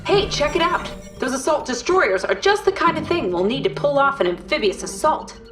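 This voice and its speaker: A woman playing an excitable, eager soldier in a video game, speaking in an animated way. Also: sits high, moderate pace. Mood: excited. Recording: distant, music behind.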